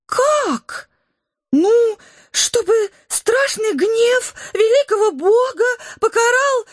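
A man voicing a vain, scheming, gushing woman in a shrill, whiny falsetto for an audiobook fantasy tale. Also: animated, slow pace, dry recording, studio quality, heavily acted. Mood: eager, whiny. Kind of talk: audiobook character acting.

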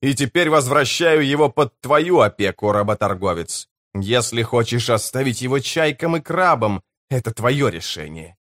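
A man's voice: mid-range voice, sing-song, moderate pace, few pauses, fairly bright tone. A man voicing a proud, blunt barbarian warrior with solemn, weighty declarations in an audiobook. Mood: stern, defiant.

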